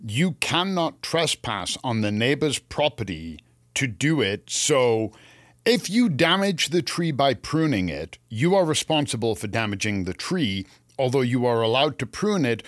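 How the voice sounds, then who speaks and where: A man's voice: medium pitch, big pitch swings, normal speed, dry recording. Canadian guy on a podcast, casual and jokey, mid voice, very dry recording. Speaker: podcast co-host. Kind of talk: casual explanatory chat.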